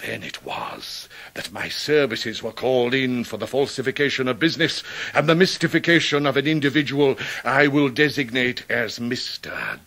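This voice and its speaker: An actor playing a pompous, indignant, debt-ridden clerk in a radio drama, with grandiloquent, theatrical oratory. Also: male voice, mid-range voice, animated, moderate pace, gravelly, fairly bright tone. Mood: pompous, aggrieved. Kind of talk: 1990s radio drama acting.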